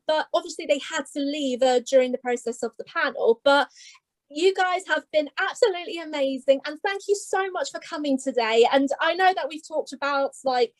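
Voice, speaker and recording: Woman host, high voice, chatty, casual video-call panel. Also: rough recording. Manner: chatty, restarts a lot, upbeat sign-off. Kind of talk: casual online panel hosting.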